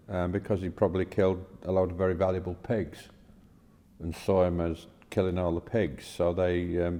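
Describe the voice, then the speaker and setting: Really deep voiced guy on a podcast, soft dark tone, kind of musing, big echoey room. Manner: halting, tentative musing. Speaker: podcast co-host. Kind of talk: scripture reading and informal discussion. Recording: reverberant room with long echo.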